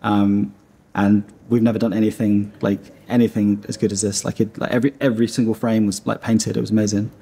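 A man in his thirties, from Iceland, talks through a seated interview in a dim studio room. His voice is warm and mellow with a slightly husky edge. He rambles and restarts, leaning heavily on fillers. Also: on the low side, flat delivery, fairly quick. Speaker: animation studio founder.

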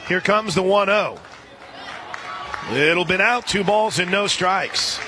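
A man working as a radio play-by-play announcer, calling a live softball game. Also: high voice, takes it slowly. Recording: outdoor stadium crowd noise behind. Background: ballpark crowd and outdoor ambience.

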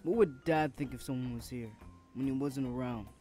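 Man acting as suspicious older brother, medium pitch, blunt, protective, audio roleplay, faint music behind. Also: moderate pace, warm tone, close to an everyday voice. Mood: angry, accusing. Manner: blunt, confrontational.

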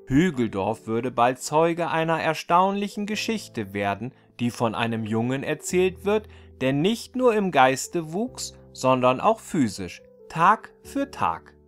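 A man reading a fairy tale aloud as a storyteller, in an expressive, deliberate scripted storybook delivery. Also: mid-range voice, soft background music.

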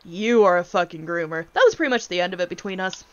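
A woman's voice: on the low side, fast, clean tone, muffled recording. A woman in her twenties ranting casually on a gaming stream, blunt, exasperated and sweary as she tells stories. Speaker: streamer, VTuber host.

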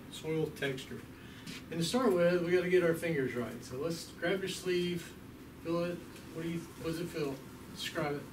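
A middle-aged man leads a small class in a relaxed, folksy way, his voice a touch high for a man. He sounds distant and echoey, picked up by a camera across a hard-walled room. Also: background noise. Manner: relaxed, folksy, asks the group questions. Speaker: extension agent teaching a class. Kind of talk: hands-on class instruction. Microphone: far camera.

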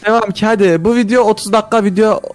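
A man co-hosting a gaming video, bantering casually with a friend in an excitable, joking way and repeating phrases. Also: high voice, fast talker, soft background music.

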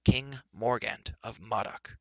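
A man's voice: fairly low pitch, slow pace, gravelly, darkish tone, no room sound, dull audio, full character voice. A man voicing a genial bard who talks with chatty admiration, as a character in a fantasy storybook. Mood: awed admiration.

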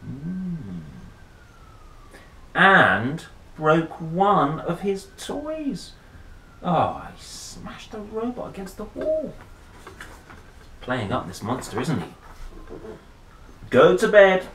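A man in his thirties reading a picture book with playful, chatty asides and dramatic pauses. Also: fairly high pitch, huge pitch swings, bright, forceful tone. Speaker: storyteller and host. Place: small wooden room or shed. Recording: roomy echo, faint background noise.

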